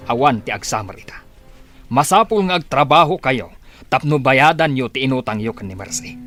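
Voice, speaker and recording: A man's voice: mid-range voice, expressive, normal speed, fairly bright tone, faint music behind, close to an everyday voice. A man acting as a stern village father in a radio play. His mid-range voice swings widely in exasperation as he lays down the law to his children. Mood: angry, exasperated.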